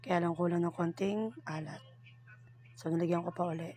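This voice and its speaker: A woman cooking and talking casually through each step, chatty and giggly, teasing herself, her voice hoarse and partly whispery, recorded in a home bathroom. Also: fairly low pitch, steady tone, moderate pace, warm tone. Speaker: cooking vlogger. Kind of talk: casual cooking tutorial in Taglish.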